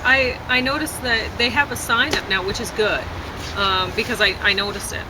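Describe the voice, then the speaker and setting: A woman chatting casually, hesitant and rambling, often trailing off mid-thought. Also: normal speed. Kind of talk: casual chat. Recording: constant traffic and outdoor rumble noise.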